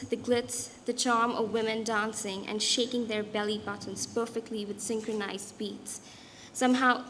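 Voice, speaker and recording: Young indian woman giving a talk, bit high voice, slow and deliberate, faint room noise. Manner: measured, deliberate delivery with occasional restarts. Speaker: guest speaker, designer and artist. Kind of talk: prepared public talk.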